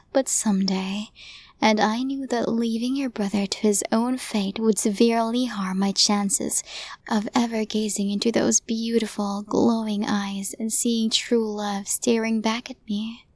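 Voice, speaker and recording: A woman playing a possessive, lovestruck yandere crime boss in an intimate ASMR audio roleplay, speaking in a breathy, deliberate, formal way. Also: mid-range voice, no echo, close to an everyday voice. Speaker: voice actor in romantic audio roleplay.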